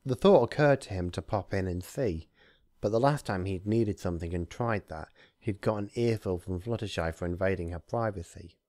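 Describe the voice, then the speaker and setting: A man with a fairly low voice, recorded dry with little room around it. He hosts story readings, moving between casual talk and narration with deliberate, theatrical phrasing. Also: darkish tone, no echo. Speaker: fan fiction reader, channel host.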